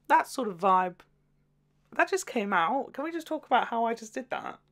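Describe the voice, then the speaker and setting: A woman's voice: fairly high pitch, very animated, normal speed. A woman hosting a casual, chatty video review, gushing and jokey with sweary asides. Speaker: video host.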